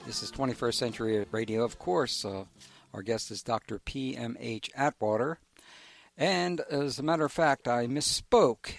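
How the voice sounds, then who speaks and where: An affable, reassuring male radio host talks in a conversational way with his guest. His voice is gravelly, with a lively, swinging pitch. The sound is dry and close. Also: no room sound. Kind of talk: radio interview.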